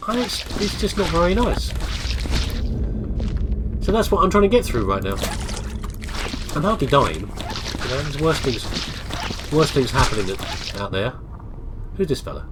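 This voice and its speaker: A man's voice: fairly high pitch, sing-song, measured pace, long pauses, noisy background. Congested man rambling over gameplay. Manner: chatty, meandering, self-deprecating. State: congested, bunged-up nose. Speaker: let's play commentator. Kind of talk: rambling casual gameplay commentary. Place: home office.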